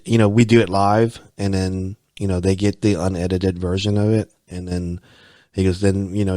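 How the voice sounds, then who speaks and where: A man's voice: sits low, a little mellow, no room sound, clean studio sound. An American man hosting a podcast, talking casually and rambling, often repeating himself and saying "you know". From United States. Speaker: podcast host. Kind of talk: casual conversation.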